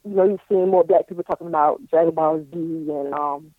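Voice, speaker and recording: Thirties man, high voice, relaxed and thoughtful, heard over a thin phone line. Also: dry recording. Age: 30s. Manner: easygoing, thoughtful, halting with fillers. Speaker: guest. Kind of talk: casual interview chat.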